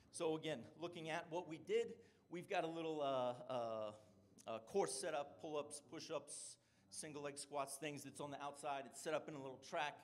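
A middle-aged man, a strength and conditioning coach, giving a conference presentation and explaining points with frequent "right?" check-ins. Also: on the high side.

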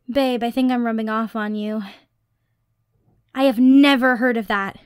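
A woman's voice: a little high, dry recording, studio quality, lightly acted. A woman playing a dorky, affectionate young girlfriend in a casual ASMR roleplay, chatty and teasing as she rambles. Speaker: roleplay voice actor.

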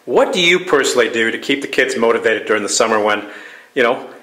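A man's voice: medium pitch, lively intonation, quick pace, pushed and bright. A man asking questions as the interviewer in an informal sports interview. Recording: echoey room.

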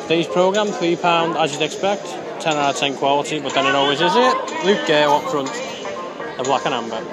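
A man's voice: on the high side, brisk, loud music behind the voice. A man gives chatty, grumbling commentary from the stands of an outdoor rugby ground, filmed on a handheld phone. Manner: chatty, grumbling, talks over the crowd. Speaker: fan vlogger at a rugby ground. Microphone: phone camera held in hand. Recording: loud crowd noise, outdoor phone recording. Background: loud crowd and outdoor noise.

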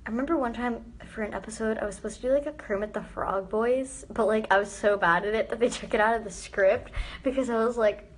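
A teenage girl chatting casually on a live stream from her phone, answering viewer comments with lots of "like" and trailing off into quiet asides. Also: a little high, quick pace. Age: teens. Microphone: phone front camera held close.

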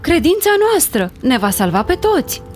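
A kind cartoon heroine, fairly high-voiced and sincere. Her gentle delivery rises and falls in an animated way. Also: soft background music. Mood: earnest. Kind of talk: animated fairy tale dub.

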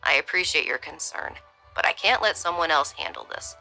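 A woman voicing a tired middle-aged male security chief who speaks low and half-whispered. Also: sing-song, moderate pace, gravelly, bright, forceful tone, soft background music, heavily acted, poor audio. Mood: weary.

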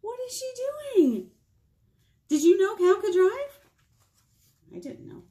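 An American woman in her thirties leading a picture-book storytime for small children, playful and expressive, asking them questions. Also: very high voice, takes it slowly. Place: small indoor room with whiteboard.

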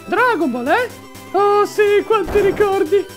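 A woman's voice: very high voice, animated, takes it slowly, full character voice. A woman voicing a small, cheeky talking creature sidekick in a cartoon fan dub. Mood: cheerful. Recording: music under the voice.

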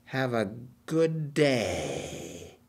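An older man with a New England accent hosts a rambling book review in a halting, folksy way, repeating himself as he goes. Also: medium pitch, very animated. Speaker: show host. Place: small meeting room indoors.

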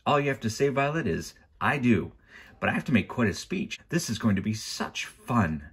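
Man voicing a gushing, higher-pitched lady judge. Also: lively intonation, moderate pace, fairly bright tone, breathy edge, exaggerated voice. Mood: delighted, gushing. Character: excitable, dreamy, kindly woman judge, delighted with theater.

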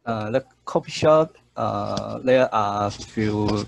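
A man in his thirties with a Taiwanese accent, haltingly searching for words as he reports on a video call. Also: fairly low pitch, creaky. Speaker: sketching group member. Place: room at home. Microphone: earphone mic on a video call. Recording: noisy video call with clicks.

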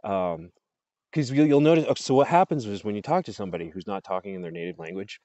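A man's voice: fairly low pitch, expressive, fast, mellow, no room sound, dull audio. A man in his forties chatting casually outdoors into an earbud mic, laughing often as he rambles. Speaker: vlogger. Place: outdoors under trees. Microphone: earbud headset mic, phone close up.

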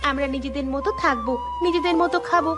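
Cartoon casting: woman as gentle, pleading young daughter, poor and dutiful, high voice, music underneath. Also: fast, close to an everyday voice. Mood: reassuring. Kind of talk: Bengali cartoon story voice acting. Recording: music behind the voice.

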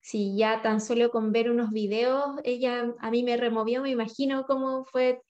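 Flat, slow-speaking woman hosting a video call. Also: fairly high pitch. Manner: slow, halting, with repeated words. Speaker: interviewer host. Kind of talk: interview conversation. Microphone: video call webcam. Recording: slightly dull video call audio.

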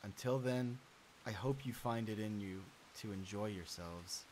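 Casting: male actor as calm otherworldly being posing as everyone's friend, very low voice, soft-spoken and reassuring, horror comic dub. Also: a little slow, a little hiss or hum, natural voice, low-quality recording. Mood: calm, ominous.

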